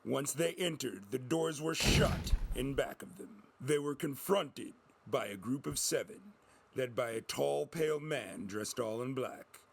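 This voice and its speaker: A man's voice: medium pitch, unhurried, gravelly, a little hiss or hum. A man reading scripted dramatic narration as a storyteller.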